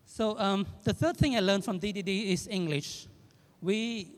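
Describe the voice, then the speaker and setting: A man in his thirties from Cambodia with a Khmer accent, giving a halting speech with fillers and self-corrections at an anniversary event. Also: sits high. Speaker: guest speaker. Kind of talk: public speech. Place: large indoor event hall. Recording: reverberant event hall.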